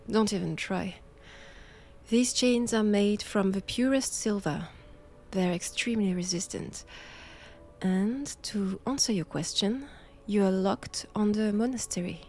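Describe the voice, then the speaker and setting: A woman with a French accent playing a knowing, menacing nun captor in an intimate roleplay audio drama, with measured, teasing, formal old-fashioned phrasing. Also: mid-range voice, dark tone, lightly acted. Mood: cool, taunting.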